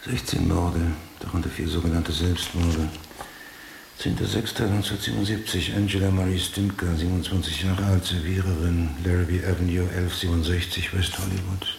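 An actor playing an obsessive, brooding police detective in a German radio drama, speaking in a clipped mutter that drifts toward a whisper. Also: male voice, low-pitched, steady tone, a little fast, creaky. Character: obsessive, brooding male police detective hunting a serial killer. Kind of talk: German radio drama acting, interior monologue. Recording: roomy, faint hiss.

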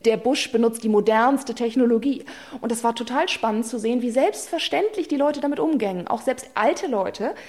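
A woman's voice: a little high, moderate pace, a little mellow. A woman describing her fieldwork in an engaged, conversational way. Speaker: interviewed ethnologist. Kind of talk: interview answers.

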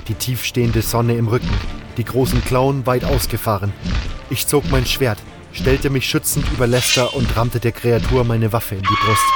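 A man narrating a scripted fantasy audio drama in a dramatic storyteller's style. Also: medium pitch. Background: music.

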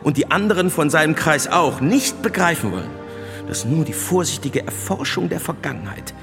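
An indignant bishop in a reverberant hall. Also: male voice, a little high. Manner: emphatic, indignant, oratorical. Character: strict, agitated reformist bishop, a man of power. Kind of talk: German radio drama acting. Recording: long hall reverb, music behind.

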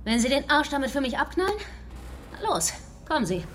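A woman's voice: sits high, sing-song, fairly quick, fairly bright tone, a little hiss or hum. An actress playing a brash, foul-mouthed, tough young woman survivor in a German radio drama, with a sarcastic, combative way of talking. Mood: scornful.

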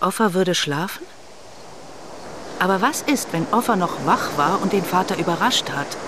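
Woman playing skeptical lady detective, measured questioning, mid pitch, rushing wave noise behind her. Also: natural voice. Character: probing, skeptical female senior police colleague. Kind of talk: German radio drama acting. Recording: clear surf-like ambient noise behind.

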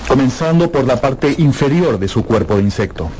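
An actor narrating a literary tale in a measured, expressive, dramatic style for a radio drama. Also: male voice, medium pitch, background noise, close to an everyday voice.

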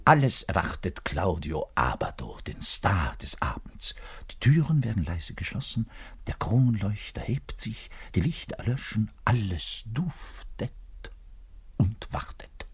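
Husky, dramatic, lovelorn orchestra musician. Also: male voice, fairly low pitch, very animated, unhurried, in a whisper, heavily acted. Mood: hushed suspenseful storytelling. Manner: dramatic, swinging from hushed confiding to loud declaiming. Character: lovelorn, self-important, bitter orchestral double bass player. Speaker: actor in a radio play monologue. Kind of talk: theatrical radio play monologue, confiding in the listener.

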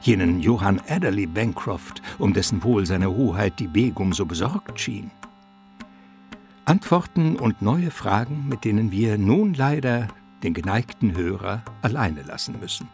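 A man narrates a radio drama in a low, gravelly voice. His storytelling is measured and theatrical, with soft music behind it. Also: expressive.

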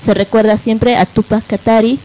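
Halting woman on noisy radio. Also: a little high. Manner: halting, seeks agreement with tag questions. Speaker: radio show panelist presenting a biography. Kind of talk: informal history talk on live radio. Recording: noisy live radio with steady hiss.

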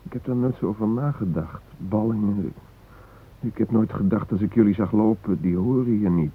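An actor playing a frightened hostage father in a radio play, musing in a halting, wry, vulnerable way. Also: lowish voice, husky. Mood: hesitant, questioning. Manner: reflective, halting, wryly self-mocking. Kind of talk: radio drama interior monologue and dialogue. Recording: muffled phone-like band.

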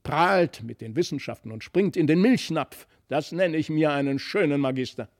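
A man's voice: on the high side, normal speed, dry recording, clean studio sound, close to an everyday voice. A man voicing an indignant nobleman's wife and mother, scolding and mocking, in a fairy-tale reading. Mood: scornful.

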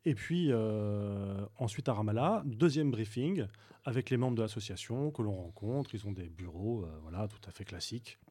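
A man speaking as a guest on a radio talk show, recounting his volunteer trip in a halting way with frequent fillers and restarts. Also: fairly low pitch, lively intonation, dark tone, slightly rough. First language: Arabic speaker. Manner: hesitant, many fillers and restarts. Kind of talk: radio interview.

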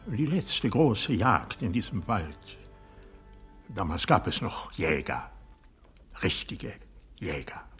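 An actor playing a bitter, proud old aristocratic man in a radio drama, speaking in a husky, breathy voice that often sinks toward a whisper, deliberate and accusing. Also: low-pitched, fairly bright tone, exaggerated voice. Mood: brooding, scornful. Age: older. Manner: deliberate, halting, intense, accusing. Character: bitter, proud old aristocratic man, former hunter, brooding over betrayal. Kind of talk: radio drama monologue acting.

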